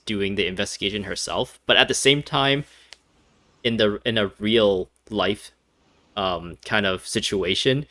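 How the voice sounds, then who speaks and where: Young guy rambling over his playthrough, crisp bright voice, dry close mic. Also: lowish voice, no room sound. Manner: rambling, hesitant, thinking aloud. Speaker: gaming video host. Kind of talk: casual playthrough commentary.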